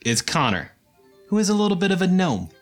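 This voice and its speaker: A man's voice: high voice, very animated, brisk, faint music behind. A man narrating a tabletop game as game master, chatty and laughing easily.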